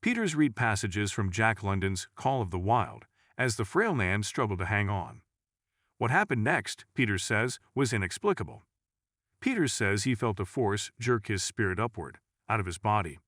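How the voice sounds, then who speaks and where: Low-pitched male narrator, lively intonation. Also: normal speed, no echo, studio quality. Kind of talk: scripted narration of true stories.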